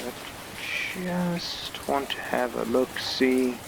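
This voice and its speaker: A man with a medium-pitched voice plays a game and comments casually, thinking aloud in an easygoing way. Heavy noise and rain-like ambience sit behind him. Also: very slow, vocal fry. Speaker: game reviewer and player. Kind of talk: casual gameplay commentary with on-screen text read aloud.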